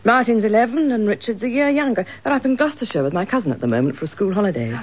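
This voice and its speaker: An actress playing a motherly, gently persistent English wife who coaxes, in a radio detective drama. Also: medium pitch, animated, racing, slightly rough. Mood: soothing, coaxing. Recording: dull lo-fi radio drama recording.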